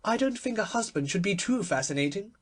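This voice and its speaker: A man's voice: high voice, lively intonation, brisk, voice pushed up. A man voicing a pensive, plaintive society lady in an audiobook. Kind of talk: literary audiobook character voice.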